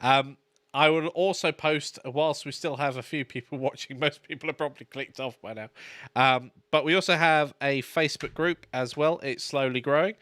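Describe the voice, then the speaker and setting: A man co-hosting a casual live stream, easygoing and quick to laugh. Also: on the high side, steady tone, fairly bright tone. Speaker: co-host.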